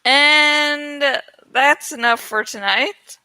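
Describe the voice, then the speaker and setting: Amateur female storybook narrator, very high voice, unhurried delivery, dramatic but self-mocking. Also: bright, forceful tone, no room sound, poor audio. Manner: self-deprecating, stumbles and jokes about slips. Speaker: amateur audiobook reader.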